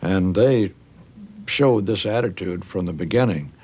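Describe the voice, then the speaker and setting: An older man giving reflective commentary in a documentary interview, measured and halting, leaning on key words. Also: low voice, breathy edge, highs cut off.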